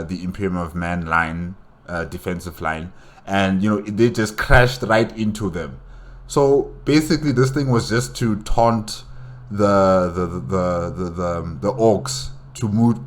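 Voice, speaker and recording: A man with a very low voice gives casual commentary as host of a reaction video. He speaks haltingly, repeating words as he works through his thoughts out loud. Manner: halting, repeats words while reasoning aloud.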